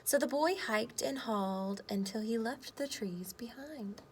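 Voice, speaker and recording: A woman's voice: a little high, measured pace. A woman speaking as a teacher, reading a storybook aloud and talking to children. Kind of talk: children's storybook read-aloud and lesson talk. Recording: clear background noise.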